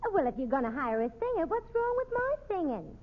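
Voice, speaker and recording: Shrill-high, daffy New gal. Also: female voice, very animated, brisk. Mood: indignant. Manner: argumentative, scatterbrained, indignant. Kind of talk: 1940s radio comedy acting. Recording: tinny old radio recording.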